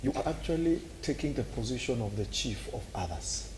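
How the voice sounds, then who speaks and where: A man's voice: medium pitch, lively intonation, brisk, a little hiss or hum. A man with a Kenyan accent, arguing animatedly on a talk show and acting out scenes with sound effects. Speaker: political commentator.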